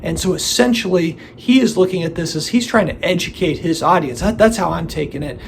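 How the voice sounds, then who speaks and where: A man hosting his own collectibles channel, talking casually to the camera while hoarse from laryngitis, rambling with many fillers and restarts. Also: high-pitched, animated, fairly quick, a little hiss or hum. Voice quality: gravelly, strained voice. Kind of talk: casual solo video commentary.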